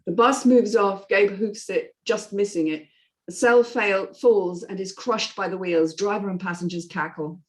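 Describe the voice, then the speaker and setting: Woman narrating a screenplay, medium pitch. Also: unhurried. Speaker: narrator reading stage directions.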